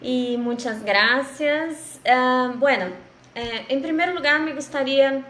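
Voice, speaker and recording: Slow Brazilian woman speaking halting Spanish. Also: high-pitched, a bit bright, reverberant. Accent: Brazilian Portuguese. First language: Portuguese. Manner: halting, many fillers and restarts. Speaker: guest lecturer. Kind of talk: online lecture greeting. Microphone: video call.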